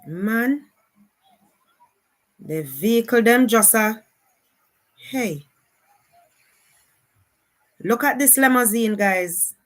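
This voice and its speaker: A woman's voice: on the high side, animated. A woman with a Jamaican accent giving casual, unscripted live commentary as a streamer, often repeating her exclamations. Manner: unhurried, repetitive exclamations.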